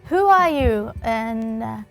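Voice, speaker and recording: A woman hosting a casual panel chat, firing off questions in quick lists with fillers. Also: fairly high pitch, lively intonation, creaky, soft background music, rough recording. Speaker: host.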